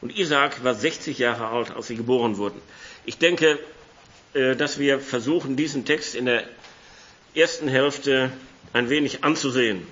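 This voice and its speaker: A hoarse man with a cold preaching a sermon in a church hall, chatting with the congregation and reading scripture aloud. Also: medium pitch, moderate pace, a bit bright, lo-fi sound. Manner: conversational, reads scripture aloud.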